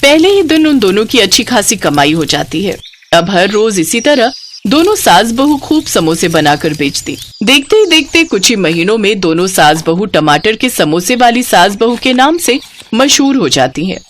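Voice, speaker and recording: A woman's voice: mid-range voice, big pitch swings, moderate pace, slight background noise. A woman narrating a scripted moral story as a storyteller.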